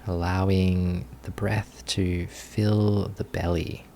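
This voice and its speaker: A man with a low voice guides a meditation very slowly. He gives gentle instructions in short phrases, leaving long pauses between them. Ambient background noise is audible behind him. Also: level tone, vocal fry. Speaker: meditation teacher.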